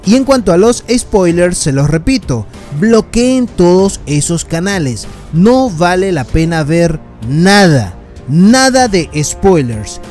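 Earnest high-voiced man reviewing a film. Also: sing-song, slow pace, darkish tone, soft background music. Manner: earnest, addresses viewers as friends. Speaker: film reviewer, channel host. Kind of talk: casual spoken film review. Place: voice-over on film clips.

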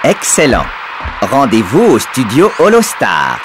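Proper polite little robot, mid voice, game music under it. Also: male voice, medium pitch, wildly swooping pitch, measured pace. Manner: polite, formal. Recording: game audio with music bed.

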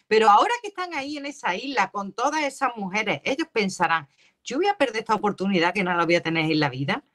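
A woman's voice: fairly high pitch, fairly bright tone. A woman in her forties giving emphatic, opinionated commentary in a casual debate, repeating herself, on a video call from home. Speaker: online show panelist.

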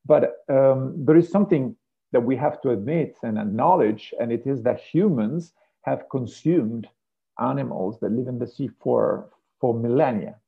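A man's voice: medium pitch, moderate pace. A middle-aged man from Rome with an Italian accent, giving an online biology lecture from home, hesitant, with many fillers and restarts. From Italy, Rome. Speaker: marine biology professor. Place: home room with a piano. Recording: gravelly, tinny video call audio.

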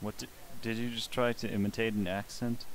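Low-voiced young man chatting through a headset. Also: level tone, brisk, a crowd in the background. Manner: chatty, politely pestering strangers. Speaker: streamer exploring a social VR game. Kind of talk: casual gaming commentary.

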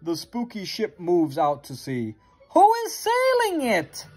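An expressive reader with a sing-song delivery, reading a children's picture book aloud into a phone at home. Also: mid-range voice, takes it slowly, husky, faint music behind. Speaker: storybook reader. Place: carpeted playroom at home. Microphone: handheld phone near the reader.

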